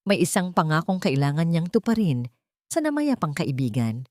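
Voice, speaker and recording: A woman's voice: deep voice, big pitch swings, moderate pace, darkish tone, dry recording, studio quality. A woman with a Filipino accent reading a dramatic scripted audiobook story as its narrator.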